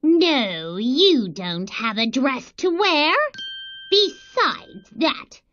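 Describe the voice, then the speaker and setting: Scornful storybook stepmother, female actor, swooping, very slow delivery, dull recording. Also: high voice, big pitch swings, fairly bright tone, a little hiss or hum, full character voice. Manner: dismissive, drawn-out. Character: cruel, scornful stepmother with evil heart. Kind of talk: storybook villain acting.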